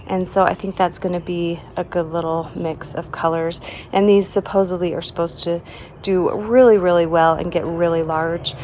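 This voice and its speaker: A woman's voice: fairly low pitch, a little mellow, a little hiss or hum. A young woman with a sleepy voice, still getting over a cold, chatting casually as she walks through her front yard garden and laughs often. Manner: chatty, laughs often, trails off mid-sentence. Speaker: home and garden vlogger.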